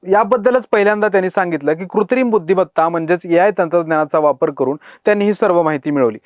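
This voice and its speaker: Fast, higher-pitched man presenting news. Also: no echo. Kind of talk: scripted news explainer narration.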